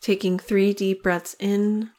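A woman leading a guided meditation in slow, deliberate phrases with long pauses between them. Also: medium pitch, level tone, darkish tone.

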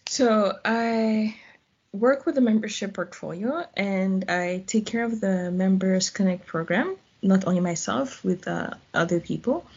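Husky woman, chatty guest. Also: medium pitch, unhurried, darkish tone, lo-fi sound. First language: French. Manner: chatty, laughs often, uses "like". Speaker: guest, volunteer. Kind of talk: casual interview answers.

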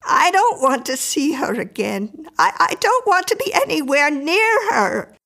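A woman voicing a frightened, tearful older married woman in an audiobook, halting and stammering as she speaks. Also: very high-pitched, very animated, fairly bright tone, voice pushed up, full character voice. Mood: apologetic, distressed. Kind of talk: audiobook character acting.

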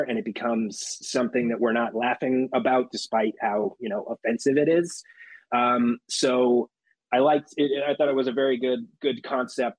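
Brief: husky male panelist, thoughtful, unsure delivery. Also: medium pitch, no room sound, low-quality recording. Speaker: podcast panelist. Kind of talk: casual roundtable chat.

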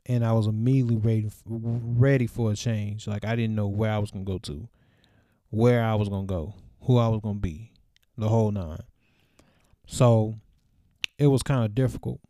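A man's voice: lowish voice, fairly even intonation, normal speed, dark tone, dry recording, clean studio sound. A man in his twenties talking to camera in a loose, reflective monologue full of fillers, recorded in a small room. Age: 20s. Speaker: vlogger. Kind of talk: casual rambling monologue.

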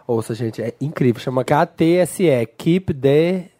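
A man's voice: on the high side, lively intonation, a little slow, mellow. A man in casual podcast chat, enthusiastically recommending an album.

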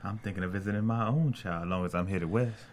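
Actor as guarded, low-voiced weary man. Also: fast, lightly acted. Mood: subdued, guarded. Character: guarded, world-weary man, friend and absent father. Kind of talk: acted table read of a drama screenplay.

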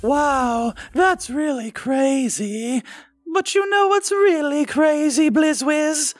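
Man as cocky gamer bard, high voice, slow dramatic delivery, self-assured, horny, animated comedy, music behind. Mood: smug.

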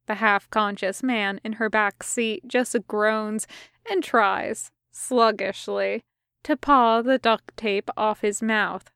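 A woman reading scripted fiction as narrator, with a measured, wry storytelling manner. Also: mid-range voice, fairly even intonation, vocal fry, fairly bright tone, smooth, no room sound, studio quality. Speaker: podfic reader.

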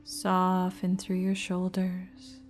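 A woman narrates a guided meditation very slowly in a soft, breathy, half-whispered voice. Her delivery is even and gentle, pausing between short phrases, with music playing behind her. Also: lowish voice.

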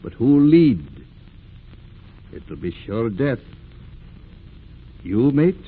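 A man acting a commanding, deliberate ship's skipper with authoritative, dramatic delivery in a 1940s radio drama. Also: mid-range voice, sing-song, husky, full character voice. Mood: grave, rousing. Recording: muffled, noisy vintage radio drama recording.

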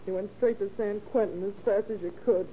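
An actress playing a weary, long-suffering wife who scolds earnestly in an old-time radio melodrama. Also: female voice, mid-range voice, steady tone, brisk, lightly acted. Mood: weary, bitter. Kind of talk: 1930s radio melodrama acting. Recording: dull, hissy old radio recording.

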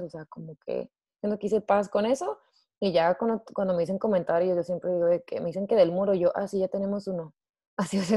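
A young woman with a Mexican accent talks through her thoughts in a relaxed, rambling way. Her voice sits a little low and sounds warm and mellow. The recording is close and dry. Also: dry recording. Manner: chatty, many fillers like "o sea" and "pues". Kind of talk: casual conversation.

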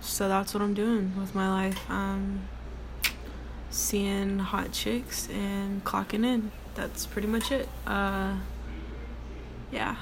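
A young woman with a deep voice hosting her own casual solo podcast from her workplace, rambling with many ums and likes in a relaxed way. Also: slow, creaky. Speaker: podcast host. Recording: noticeable background noise.

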